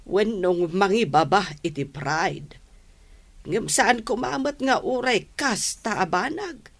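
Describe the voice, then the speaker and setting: An actress with an Ilocano Filipino accent playing a stern but caring mother admonishing her grown daughter in a radio drama. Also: mid-range voice.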